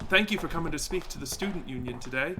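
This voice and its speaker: An actor playing an eager young college newspaper reporter, asking polite questions in an audio drama. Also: male voice, fairly high pitch, animated, fast talker, natural voice. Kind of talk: interview scene in audio drama. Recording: distant, noisy location sound.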